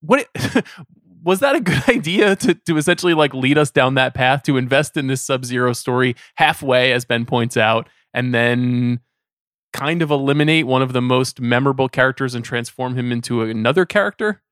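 A man's voice: medium pitch, moderate pace, a bit bright, no echo, studio quality. A man hosting a casual podcast discussion, speaking conversationally. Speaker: podcast host.

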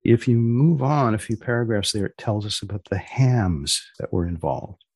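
A man's voice: on the low side, expressive, moderate pace, a little mellow, slightly rough, dry recording. A man hosting a podcast in a dry, understated conversational way, prompting his guest with questions. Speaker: podcast host. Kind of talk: conversational interviewing.

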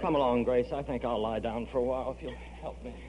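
A man's voice: mid-range voice, steady tone, quick pace, natural voice. An actor playing a wry, grumbling, put-upon middle-aged father in an old radio comedy. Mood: pained, grouchy. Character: grouchy, put-upon, hungover husband and father. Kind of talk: 1940s radio comedy acting. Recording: noisy, dull old radio recording.

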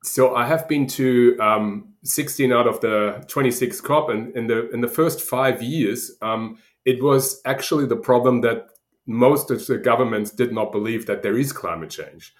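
A middle-aged man from Germany with a German accent, answering interview questions in a halting way with many fillers. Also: medium pitch. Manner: halting, many ums and uhs. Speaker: guest researcher. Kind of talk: podcast interview.

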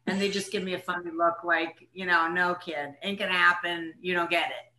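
A woman's voice: on the low side, fairly even intonation, normal speed, sharp tone, reverberant, low-quality recording. An older woman, an actress being interviewed, casually reminiscing in conversation.

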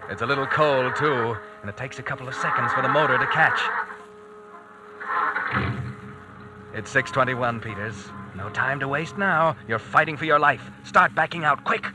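A man's voice: mid-range voice, fairly quick, hardly any pauses, heavy background noise, close to an everyday voice. A man acting as a tense inner narrator in a vintage radio drama, speaking in short clipped commands. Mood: urgent. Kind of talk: 1940s radio drama narration, second person.

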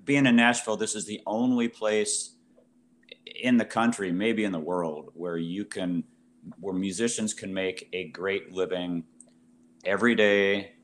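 Low-voiced band member, faint background hum. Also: male voice, steady tone, unhurried. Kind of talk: casual interview chat.

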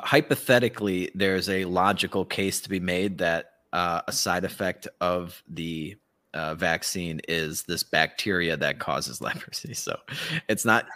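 A man's voice: deep voice, bright, forceful tone. A man co-hosting a casual live podcast, talking in a mocking, sarcastic way and often chuckling as he speaks. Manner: swears casually. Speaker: podcast co-host.

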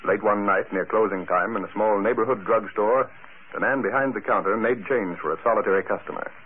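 An actor as a grave, emphatic announcer narrating an old radio thriller in a measured, dramatic style. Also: male voice, on the low side, close to an everyday voice. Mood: hushed, scene-setting. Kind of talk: 1940s radio scripted narration. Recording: tinny, noisy old radio recording.

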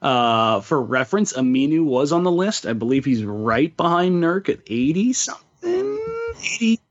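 A man's voice: on the high side, big pitch swings, moderate pace, highs cut off. A man co-hosting a sports podcast in casual chat, often repeating words as he thinks aloud.

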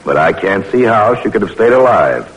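A man's voice: lowish voice, lively intonation, normal speed, lightly acted. A man playing a dignified, skeptical doctor in an old-time radio drama, formal and emphatic. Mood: skeptical, conceding. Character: skeptical, dignified male autopsy doctor, grudgingly convinced. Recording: noisy old radio recording.

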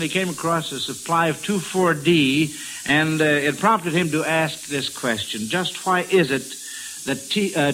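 Folksy higher-pitched American radio host, hissy recording. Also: male voice, fairly bright tone, rough recording. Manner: folksy, hesitant, encouraging. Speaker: quiz show host. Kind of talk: old-time radio quiz hosting.

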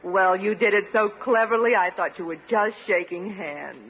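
A woman's voice: medium pitch, normal speed, close to an everyday voice. An actress playing a gracious, sweetly polite lady on a date in an old-time radio comedy. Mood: pleased. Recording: tinny vintage radio recording.

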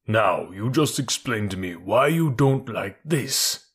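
Man acting storybook elephant, male, indignant, grandiose, self-pitying, nervous, husky-edged. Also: on the low side, expressive, takes it slowly, fairly bright tone, exaggerated voice. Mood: angry.

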